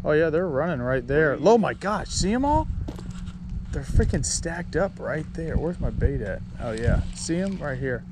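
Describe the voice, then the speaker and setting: A chatty man talks his way through a night of pier fishing. He sounds excited and a touch high-pitched. Outdoor noise hisses clearly behind him on the camera mic. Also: moderate pace. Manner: excited, chatty, swears mildly. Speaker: fishing vlogger. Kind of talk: casual narration while fishing. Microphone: body-mounted action camera. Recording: noisy outdoor camera audio.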